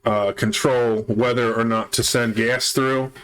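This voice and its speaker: A man's voice: mid-range voice, steady tone, measured pace, fairly bright tone, smooth, rough recording. A man with a chest cold, hosting a let's play and methodically explaining his base repairs step by step. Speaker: gaming channel host.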